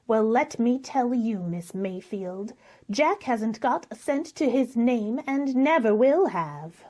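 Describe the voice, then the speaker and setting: A woman playing a jealous, scornful young rich fiancée, sneering deliberately, in a radio drama. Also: a little high, lively intonation, mellow. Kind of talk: old-time radio drama acting.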